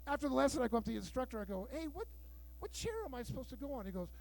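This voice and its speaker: High-voiced man doing bawdy stand-up. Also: expressive, very fast, vocal fry. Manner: loud, bawdy storytelling with acted-out dialogue. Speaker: stand-up comedian. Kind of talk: stand-up comedy set. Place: live show room with audience.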